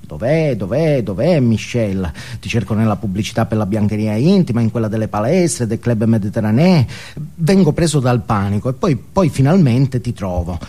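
Male actor as neurotic confessor: self-absorbed, ashamed, stammering, mellow middle-pitched voice, comic theatre monologue. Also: sing-song. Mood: obsessive, yearning. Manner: halting, stammering, repeating words, self-justifying.

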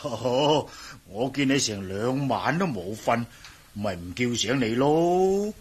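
Elderly male servant, Hong Kong Cantonese radio drama: husky, medium pitch, homely, fussy, stammering, fond of a joke. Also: lively intonation, slow pace. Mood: flustered, teasing. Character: fussy, caring old male servant, joking. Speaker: supporting actor in a radio drama.

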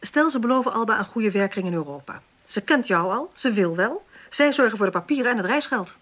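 An actress playing a businesslike, sceptical police detective in a radio play, questioning and giving orders in a matter-of-fact way. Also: female voice, medium pitch, animated, brisk, a bit bright. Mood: brisk, concerned. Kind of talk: naturalistic radio play acting.

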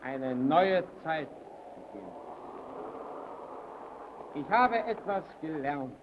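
An actor playing a brooding, self-righteous lighthouse worker in a German radio play, deliberate and solemn. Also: male voice, fairly high pitch, expressive. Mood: solemn, cold. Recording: dull old radio recording, faint noise.

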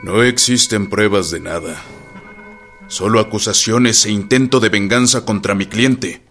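Un actor que interpreta en una radionovela a un abogado defensor formal, retórico e indignado, con acento mexicano y tono declamatorio. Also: male voice, fairly low pitch, unhurried, music in the background. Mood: indignant. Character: formal, indignant male defense lawyer, rhetorical. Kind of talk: radio drama acting.

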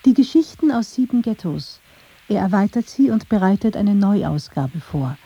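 A woman narrates a radio feature from a script. Her voice is very dark and soft-edged, with some huskiness. The sound is a little muffled. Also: mid-range voice, animated, moderate pace, husky.

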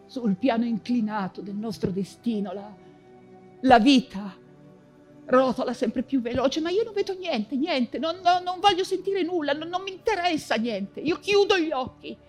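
An actress playing an anguished woman writer and mother fleeing persecution in a wartime stage monologue, theatrical and halting, with anxious repetitions. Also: sits high, lively intonation, a little mellow, soft background music. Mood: frantic denial.

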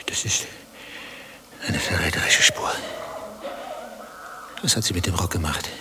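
An actor playing a brooding, bitter betrayed husband in a radio play, speaking in terse, probing, sardonic lines. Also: male voice, low voice, measured pace, in a whisper, over music. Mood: tense suspicion. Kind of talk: German radio play dialogue.

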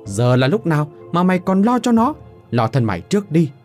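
A man's voice: mid-range voice, big pitch swings, normal speed, darkish tone, soft background music, close to an everyday voice. A man playing a cruel older woman boss. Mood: harsh commanding. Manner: harsh, barking orders, sneering.

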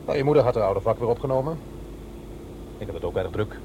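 An actor playing a middle-aged divorced father in a radio drama, explaining himself in a halting, defensive way. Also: mid-range voice, animated, warm tone, lightly acted. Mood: earnest, explaining. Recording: clear vehicle noise behind voice. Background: car driving ambience.

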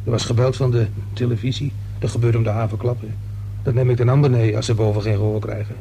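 A man's voice: medium pitch, steady tone, fast, creaky, mellow, slight background noise, natural voice, low-quality recording. A man acting a nervous, chatty working-class fellow in a Dutch radio play, hesitant, rambling and colloquial. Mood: gossipy.

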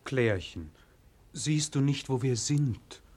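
A man playing an anxious, gentle townsman in a 1940s radio play, speaking in a subdued, husky, urging way. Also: mid-range voice, lively intonation, slow pace. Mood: worried, coaxing. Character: anxious gentle townsman coaxing his sweetheart homeward.

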